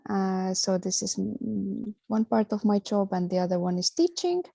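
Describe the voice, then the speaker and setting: A woman's voice: medium pitch, animated, creaky, dark tone, dry recording, soft background music. A woman who teaches stop motion, introducing herself hesitantly at an online info session over a video call. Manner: slow, hesitant, many fillers.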